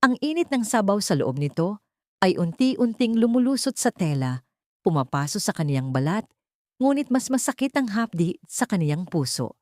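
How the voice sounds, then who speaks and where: A woman's voice: medium pitch, big pitch swings, normal speed, darkish tone, no echo, studio quality. A woman narrating a scripted emotional story as a dramatic storyteller.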